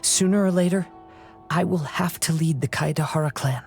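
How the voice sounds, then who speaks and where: An actor playing a gentle, earnest young samurai heir in a video game, soft-spoken and poetic in manner. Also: male voice, a little high, normal speed, vocal fry, faint music behind. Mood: earnest pleading. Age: young adult. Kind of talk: dramatic video game dialogue.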